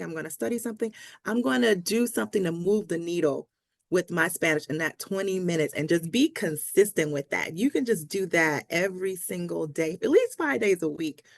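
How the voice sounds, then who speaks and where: A woman's voice: on the low side, gravelly, dry recording. A woman speaking as a language coach in a conversational pep talk, encouraging and emphatic, repeating key phrases for stress. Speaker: Spanish language coach, interview guest.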